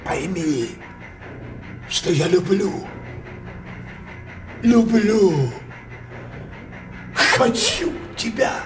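An actor playing a brooding older king obsessed with his daughter, declaiming theatrical verse in a halting, impassioned manner for a radio play. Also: sits high, very animated, music in the background, exaggerated voice. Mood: feverish, pleading.

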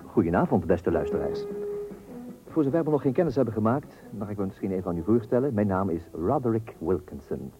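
A man's voice: lowish voice, expressive, a little fast, music in the background, natural voice. An actor playing a pompous, thrifty father who makes speeches in an old radio comedy, with a Netherlands Dutch accent. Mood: pompous, self-satisfied. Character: pompous, thrifty, self-important husband and father. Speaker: actor playing the narrator of a family comedy serial. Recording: muffled old radio recording.

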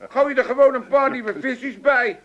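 A man's voice: very high-pitched, steady tone, normal speed, lo-fi sound. An actor playing a grumpy, mischievous old father with an Amsterdam accent in a comic radio play, muddled and mocking, stumbling over his words. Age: older.

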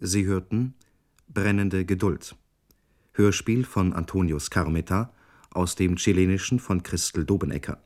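Male announcer, low-pitched, formal, measured, scripted radio credits. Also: a little mellow.

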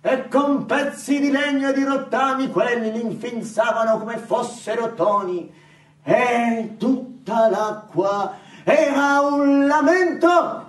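A man with a Sicilian accent declaiming a theatrical monologue loudly and emphatically, as a solo stage storyteller. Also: very high voice. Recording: roomy echoing stage, distant microphone.

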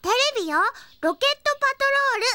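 A woman's voice: very high voice, lively intonation, fast talker, sharp tone, exaggerated voice. A woman voicing a dreamy, reluctant small child in a Japanese audio drama, with a singsong, childlike delivery. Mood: dreamy, excited.

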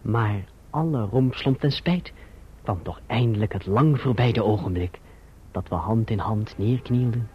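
An actor playing a courteous, earnest young gentleman in love in a radio drama, who also narrates reflectively. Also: on the low side, unhurried, breathy edge. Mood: reflective, lyrical. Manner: polite, earnest, expressive. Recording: muffled, dull old radio recording.

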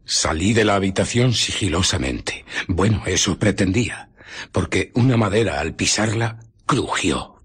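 An actor playing a ruthless, irritable fugitive scientist in a radio drama, husky and often dropping to a whisper as he recounts and justifies his deeds. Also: low voice, lively intonation, moderate pace, a bit bright, exaggerated voice. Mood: brooding recollection. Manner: dramatic, brooding, self-justifying. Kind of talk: radio drama narration and dialogue.

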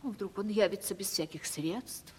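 An actress playing a coquettish, capricious aging landowner lady in a radio play, with affected, drawn-out theatrical delivery. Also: medium pitch, slight background noise, lightly acted. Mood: fretful, confiding. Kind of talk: theatrical 1970s Russian radio play acting. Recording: stage-like reverberant archival radio drama recording.

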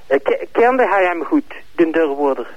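High-voiced hesitant Flemish man on the phone. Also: sing-song, normal speed. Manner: hesitant, stammering. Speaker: phone-in caller questioning a suspect. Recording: dull telephone line.

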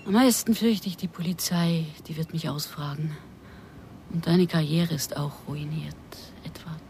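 An actress playing an anxious, defiant runaway wife in a radio drama, speaking in an agitated, aggrieved way. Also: female voice, low-pitched, a little slow, darkish tone, slight background noise. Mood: desperate.

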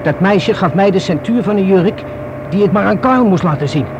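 Radio-drama young informant: fairly high voice, wary, rueful, colloquial, hesitant, noisy vintage recording. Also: male voice, expressive, normal speed. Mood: rueful, confiding. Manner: hesitant, colloquial, self-reproaching. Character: rueful, wary young man confiding in police.

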